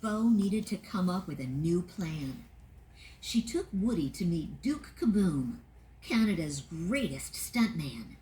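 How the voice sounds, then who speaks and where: Expressive woman reading a storybook. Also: fairly low pitch, slow, slight background noise. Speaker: narrator. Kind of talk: storybook read-along narration.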